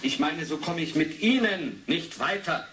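A man's voice: fairly high pitch, animated, a little slow, fairly bright tone. An actor playing an impatient male interrogator in a radio drama, clipped and official. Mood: insistent. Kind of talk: 1980s German radio drama acting. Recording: roomy, hissy interrogation tape.

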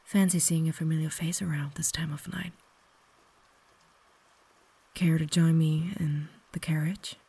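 A woman playing a cultured, caring vampire lady in an intimate ASMR roleplay, whispering softly with refined, gentle phrasing. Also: sits low, level tone, a little slow, long pauses, dry recording, exaggerated voice. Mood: caring, gracious. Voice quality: soft, breathy whisper. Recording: noticeable background ambience noise.

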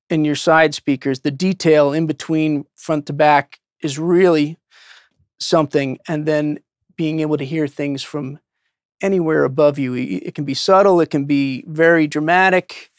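A man in his fifties explaining audio mixing casually, pausing thoughtfully as he searches for words. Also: a little high, steady tone, clean tone, no echo, studio quality. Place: indoor living-room studio.

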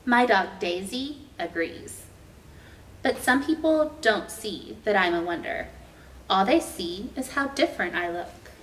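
A young adult woman reading a children's picture book aloud as a storytime host, with deliberate, expressive storytelling for young children. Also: medium pitch. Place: indoor room with painted mural. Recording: roomy, distant mic, faint noise.

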